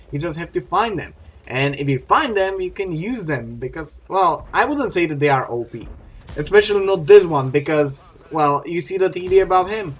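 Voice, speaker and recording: Chatty young man commenting on a game replay. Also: a little high. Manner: chatty, rambling, self-correcting. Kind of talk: casual gameplay commentary.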